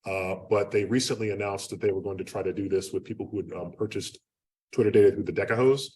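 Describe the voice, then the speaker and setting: A man giving an unscripted academic lecture in a loud, projecting voice, talking conversationally with lots of fillers and restarts. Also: on the low side, steady tone, fast talker. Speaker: guest lecturer. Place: lecture room. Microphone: speaking away from the microphone. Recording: distant room mic.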